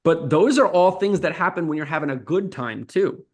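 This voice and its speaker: A man's voice: on the high side, expressive, normal speed. A man, a communications professor, giving teacherly explanations in an interview and often adding "right?" as a tag question.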